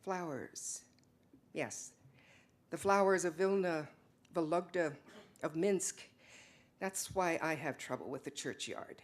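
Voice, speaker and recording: A woman's voice: fairly low pitch, slow pace, pauses often, voice pushed down. A woman takes the part of a husband in a stage drama. He is questioning and exasperated, pressing his points in a probing, argumentative way. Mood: somber.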